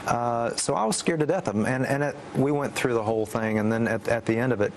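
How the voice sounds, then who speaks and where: Male interviewee, middle-pitched, halting and stammering as he tells a personal account, slight noise. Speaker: interview guest, former political aide. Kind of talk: recounting personal story in interview.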